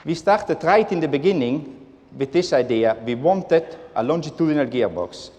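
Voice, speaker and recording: A man's voice: on the high side, expressive, moderate pace. A man giving a technical presentation as an engineer on a car project. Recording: echoey hall, loud crowd and noise.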